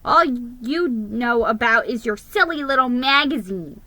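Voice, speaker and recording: A woman's voice: a little high, animated, a little slow, sharp tone, no room sound. A woman voicing a jealous, hot-tempered little male Christmas elf in a storybook, shrieking and grumbling his complaints.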